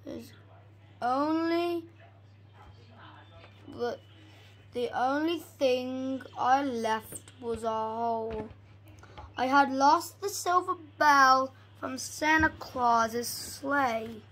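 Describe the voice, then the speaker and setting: A young reader reading a storybook aloud haltingly, stumbling over and repeating words. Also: high-pitched, fairly bright tone.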